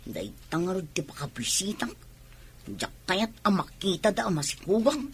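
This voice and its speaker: Husky young Filipino wife, sulky. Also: female voice, lowish voice, big pitch swings, moderate pace, full character voice. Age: young adult. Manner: complaining, sulky. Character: unhappy, irritable young pregnant wife. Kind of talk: Ilocano radio drama acting.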